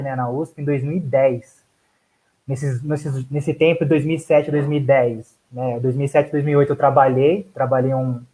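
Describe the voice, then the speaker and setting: A man's voice: medium pitch, mellow, rough recording. A man in his thirties, a physics PhD student, telling his own story casually in a debate, with many restarts and fillers.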